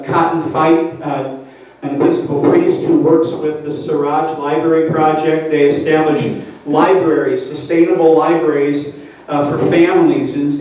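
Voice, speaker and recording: A man hosting an event, making announcements and introducing a speaker with many pauses and fillers. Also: a little high, dark tone. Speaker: event host. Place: church hall. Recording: far, echoey hall.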